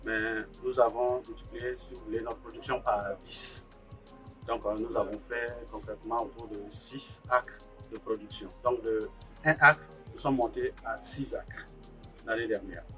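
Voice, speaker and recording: A man's voice: mid-range voice, steady tone, measured pace, sharp tone. A man from Burkina Faso, an agricultural technician giving a hesitant interview testimony. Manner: hesitant, lots of filler sounds. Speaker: agricultural technician, farm co-founder. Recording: somewhat distant mic, faint music under.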